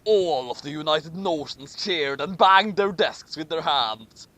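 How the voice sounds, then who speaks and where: A man's voice: a little high, expressive, measured pace, pushed and bright, dry recording. A man giving a comedic, theatrical story reading with commentary, often stumbling over his words. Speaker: host reading a story aloud.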